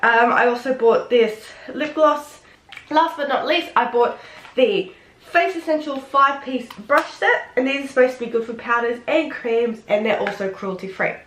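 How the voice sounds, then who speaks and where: A woman with a head cold, sounding nasal and sniffly, chatting excitedly through a casual makeup tutorial. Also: fairly high pitch, normal speed, a little hiss or hum. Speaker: beauty vlogger. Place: home room. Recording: roomy, echoey room.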